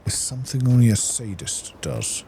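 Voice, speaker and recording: A man giving casual, low-key gameplay commentary, musing and thinking aloud to himself. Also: steady tone, normal speed, creaky, noisy background. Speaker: gaming video host.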